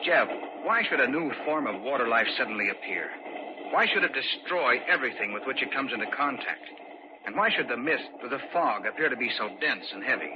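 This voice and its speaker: An actor playing a grave, worried man full of foreboding, with ominous, deliberate phrasing, in an old-time radio drama. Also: mid-range voice, lively intonation, lightly acted. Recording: dull, noisy old radio recording.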